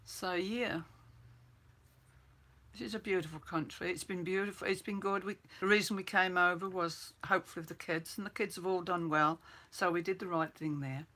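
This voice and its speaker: An older woman from Birmingham with an English accent softened by years in New Zealand, chatting casually to her viewers and reminiscing. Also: on the low side, fairly even intonation, normal speed. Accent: English with some New Zealand mixed in. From England (Birmingham). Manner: chatty, reminiscing, repeats herself. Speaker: livestream host.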